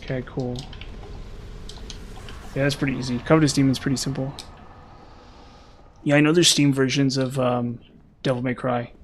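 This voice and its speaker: A young man streaming a game, chatting casually with viewers in a rambling way, his voice slightly hoarse from long talking. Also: medium pitch, little pitch movement, moderate pace, pauses often, slight background noise. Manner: rambling, lots of "like" and "um". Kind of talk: casual live-stream chat while gaming.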